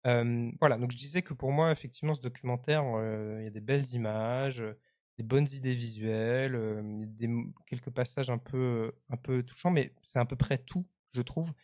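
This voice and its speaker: A man's voice: mid-range voice, darkish tone, no room sound. Hesitant man in his thirties, dry close sound. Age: 30s. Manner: hesitant, halting, conversational. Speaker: live stream host. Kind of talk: live commentary on web culture, casual press review.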